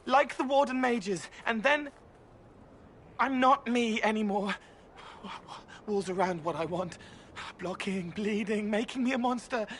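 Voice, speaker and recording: An actor playing an anxious, innocent young spirit in a video game, speaking in halting, dreamy fragments. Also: male voice, high-pitched, fairly bright tone, slight background noise. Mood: anxious, pleading.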